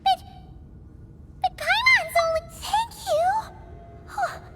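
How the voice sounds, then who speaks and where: A woman voicing a tiny excitable girl companion in a video game, chirpy and calling herself by name. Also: very high voice, slow, full character voice. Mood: tearful. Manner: chirpy, refers to herself in third person. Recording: game cutscene with music.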